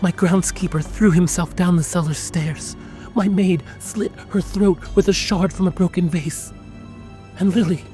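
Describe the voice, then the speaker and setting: An anguished, frightened woman performed by a man in a horror audiobook. The voice sits high, hushed and breathy, with a trembling, pleading edge and bitterness. Music plays underneath. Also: fairly even intonation, unhurried. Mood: bitter, desperate. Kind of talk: dramatic horror audiobook dialogue.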